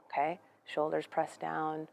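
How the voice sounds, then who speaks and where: A young woman guiding a yoga class in a gentle, unhurried, conversational way. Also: low-pitched, completely flat delivery, vocal fry. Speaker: yoga instructor. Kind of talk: guided yoga class instruction.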